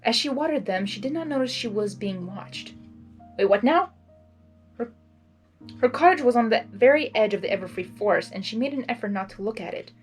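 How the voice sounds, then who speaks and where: Excitable female story reader, higher register, very lively intonation, faint music bed. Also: a little fast. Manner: excitable, gushing. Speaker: fan fiction reader and channel host. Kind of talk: expressive storybook reading with spontaneous reactions.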